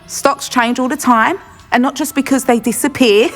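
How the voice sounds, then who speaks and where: A woman's voice: high voice, natural voice. A woman playing a gossipy shopkeeper. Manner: gossipy, brisk and chatty. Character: chatty middle-aged female beast shopkeeper. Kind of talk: video game voice acting. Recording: long reverb, faint music behind.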